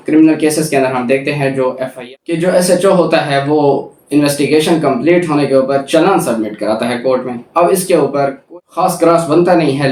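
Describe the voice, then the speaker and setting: A man's voice: on the high side, a little mellow. A man with a Pakistani accent giving a methodical explanatory lecture, reading out formal text and rephrasing each point. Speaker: law lecturer. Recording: echoey room, distant microphone.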